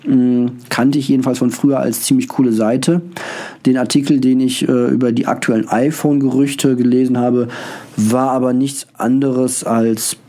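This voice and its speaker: A man's voice: mid-range voice, little pitch movement, normal speed, mellow. A male podcast host with a cold and an occasional cough, talking casually and hesitantly, with many filler sounds and restarts. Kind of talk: casual solo podcast monologue.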